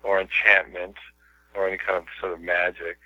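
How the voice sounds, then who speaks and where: An American man answering interview questions in a reflective, hesitant way, his voice pitched a little low. The sound is dry and close, with dull lo-fi radio quality. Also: little pitch movement, no room sound. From United States. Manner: reflective, hesitant, slow pauses. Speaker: poet, guest. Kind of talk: casual interview.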